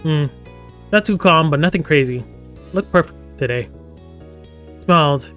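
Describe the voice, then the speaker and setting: This is a man with a slightly high voice, live-streaming a game. He reads the story text aloud in a casual way and drops in chatty, joking asides. Music plays softly behind him. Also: lively intonation, measured pace.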